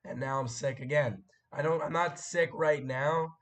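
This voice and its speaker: A young man hosting a casual live stream, his voice hoarse and cracking after a sore throat, rambling and clearing his throat as he chats with viewers. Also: a little high, fairly even intonation, normal speed, fairly bright tone. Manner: rambling, chatty, frequent fillers and throat clearing.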